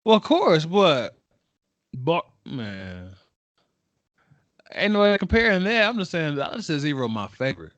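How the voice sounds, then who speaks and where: A man's voice: on the high side, sing-song, unhurried, long pauses, fairly bright tone, no room sound, muffled recording. A man co-hosting a casual podcast chat in a teasing, playful way. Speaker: podcast co-host.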